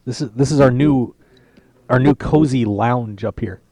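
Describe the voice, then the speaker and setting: A man hosts a poker radio show live from a tournament lounge. His voice is mellow and dark, and the sound is dry. He rambles and jokes with lots of restarts. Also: medium pitch, unhurried, dry recording. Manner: chatty, joking, rambling with restarts. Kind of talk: casual live-stream chat.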